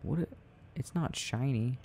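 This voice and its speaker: Young male, mellow and creaky, soft ASMR gaming ramble, quiet musing delivery, slight background noise. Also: mid-range voice, measured pace. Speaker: audio roleplay creator. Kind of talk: soft casual rambling while gaming, ASMR sleep aid.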